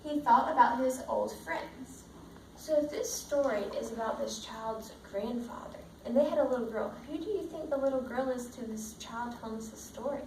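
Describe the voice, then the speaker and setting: Female teacher, storybook read-aloud, higher-pitched voice, roomy sound with faint noise. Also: moderate pace, darkish tone. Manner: checks understanding with simple questions. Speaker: teacher reading a picture book to children. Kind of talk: read-aloud with questions to young pupils. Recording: roomy, distant mic, faint background noise.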